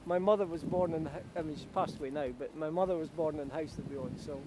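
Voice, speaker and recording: A middle-aged man from Kenya, a paragliding instructor, chatting with self-assured quick asides outdoors by a beach. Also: a little high. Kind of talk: casual chat to camera outdoors. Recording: outdoor wind and traffic noise.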